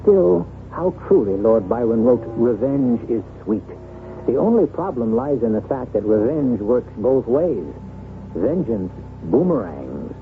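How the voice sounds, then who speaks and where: A man hosting with theatrical, measured scripted radio narration, dramatic and rhetorical. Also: medium pitch, big pitch swings, background noise. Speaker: host and announcer. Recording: tinny old radio, music behind. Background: music.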